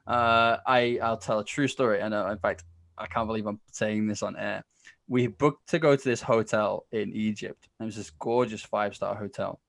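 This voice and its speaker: A man's voice: fairly low pitch, steady tone, moderate pace, vocal fry, a bit bright, no echo. A man speaking as a podcast guest in a casual, chatty storytelling style, acting out bits of conversation as he goes. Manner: chatty, informal, acts out quoted exchanges.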